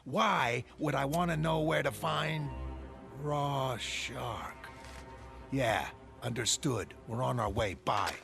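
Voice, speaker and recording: A man acting a businesslike, weary police detective with a dry, brisk, colloquial manner. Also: mid-range voice, creaky. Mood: businesslike, puzzled. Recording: loud music underneath.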